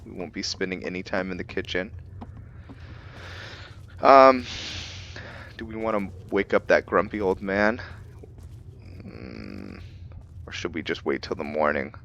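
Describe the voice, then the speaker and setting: A man's voice: sits low, animated, a little slow, gravelly, pauses often, fairly bright tone, lo-fi sound, slight background noise. A man giving casual, musing commentary as he role-plays through a game, talking as "we". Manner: musing, speaks as "we". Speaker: gamer narrating a role-play.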